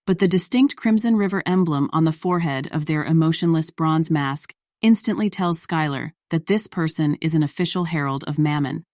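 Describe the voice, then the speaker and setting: A woman's voice: low-pitched, normal speed, few pauses, darkish tone, dry recording, clean studio sound. A woman narrator reading scripted story narration for an audio drama.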